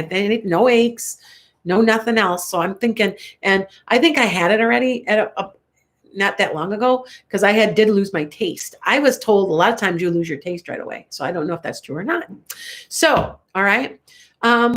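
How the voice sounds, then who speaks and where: An older woman with a Chicago accent gives a live tarot reading from home. She talks in a loose, rambling, chatty way and laughs often. Her voice sounds a little congested, with an occasional cough, at a middle pitch. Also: normal speed. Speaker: tarot reader. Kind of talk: live-stream tarot reading answering viewer comments.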